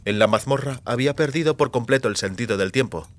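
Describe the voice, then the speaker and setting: A man's voice: mid-range voice, fast talker. A man reading scripted narration for a fantasy audiobook.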